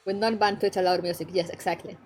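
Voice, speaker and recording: A woman's voice: fairly low pitch, fairly even intonation, fast talker, a little mellow, soft background music. Giggly streamer woman with a Spanish accent. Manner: giggly and rambling, often laughing between lines. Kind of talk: casual live-stream chat with viewers.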